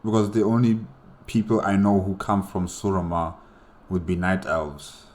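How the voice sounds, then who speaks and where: A man's voice: deep voice, little pitch movement, normal speed, darkish tone. A man hosting a casual solo reaction, explaining things in a hesitant, conversational way, with faint music behind. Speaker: reaction host.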